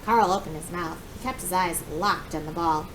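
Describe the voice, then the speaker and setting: Expressive woman storyteller over noisy background. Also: moderate pace. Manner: expressive, acts out dialogue. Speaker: read-aloud storyteller. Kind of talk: animated children's book narration. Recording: clear background noise with low rumble.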